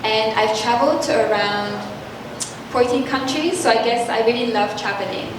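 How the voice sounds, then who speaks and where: A woman in her twenties from France, a pageant candidate giving poised, measured answers at a press meet. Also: a little high. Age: 20s. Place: hotel function room. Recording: echoey room, distant mic, background hum.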